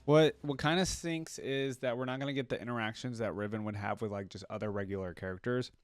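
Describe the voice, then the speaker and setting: A man's voice: mid-range voice, fairly even intonation, fast, clean tone, dry recording, clean studio sound. A man giving casual reaction commentary on game voice lines, musing and thinking aloud. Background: game music and voice clips.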